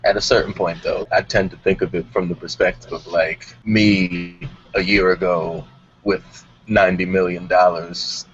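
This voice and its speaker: Very deep-voiced man hosting casual talk. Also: level tone, moderate pace. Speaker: host of a music talk show. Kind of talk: casual panel discussion. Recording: dull audio with background noise.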